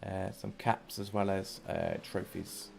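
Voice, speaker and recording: A man giving casual, chatty live sim racing commentary as a stream commentator, with a gravelly voice. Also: low-pitched, flat delivery, takes it slowly. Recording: clear background noise on stream.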